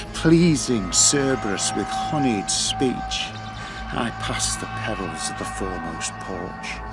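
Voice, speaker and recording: An actor playing the grieving, haunted ghost of a young Spanish courtier, speaking solemn, declamatory verse in a radio drama. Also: male voice, lowish voice.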